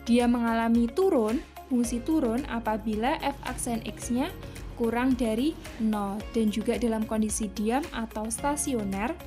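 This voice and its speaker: A woman's voice: a little high, unhurried, music in the background. A woman teaching a math lesson to students, explaining step by step. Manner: patient, explains step by step with frequent "ya".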